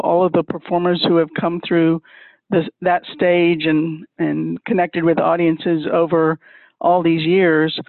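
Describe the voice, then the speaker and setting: A man speaking for a music school, explaining plans in a measured way with many pauses. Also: sits high, dry recording. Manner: measured, pausing with many uhs. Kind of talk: casual explanation. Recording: dry, tinny phone line.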